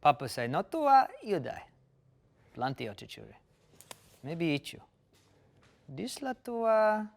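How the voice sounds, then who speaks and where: A man playing a small, excitable, superstitious creature in a fantasy table read, speaking broken pidgin mixed with invented words. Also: on the high side, unhurried, gravelly, long pauses, full character voice. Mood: wary, simple.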